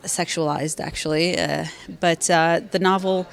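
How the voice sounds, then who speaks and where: A woman in her thirties, a former journalist and author, answering interview questions in a measured, thoughtful way with frequent fillers. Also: on the low side, fairly even intonation, vocal fry. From Afghanistan, raised in Virginia, USA.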